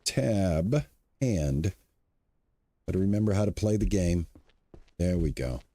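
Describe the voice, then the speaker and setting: An upbeat man in his sixties streams a farming game and chats freely with his viewers. His voice sits low but swings lively up and down as he talks. The sound is close and dry, with no room echo. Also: slow pace. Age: 60s. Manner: chatty, upbeat, talks to chat. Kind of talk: casual live-stream commentary.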